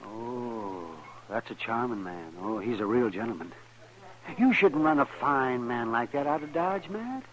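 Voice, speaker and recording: An actor playing a wry, folksy older town doctor in a 1950s radio western, muttering and teasing. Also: male voice, mid-range voice, animated, measured pace, a bit bright, rough recording. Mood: wry, grumbling. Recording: 1950s radio drama recording.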